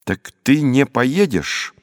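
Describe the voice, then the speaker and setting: A man's voice: mid-range voice, very animated, slow pace, dry recording, voice pushed up. A proud young would-be adventurer from a storybook, played by a man. He is stern and haughty, pressing his demands in grand declamatory phrases. The recording is dry, with no room sound. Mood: angry demanding. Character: bold stern schoolboy adventurer, proud and bossy.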